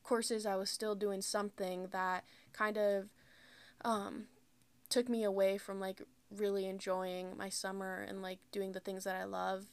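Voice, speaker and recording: Young woman, flat creaky casual chat. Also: mid-range voice, measured pace, no echo. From United States. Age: about 20. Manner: candid, rambling, heavy use of "like". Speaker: podcast host. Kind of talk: casual solo podcast talk.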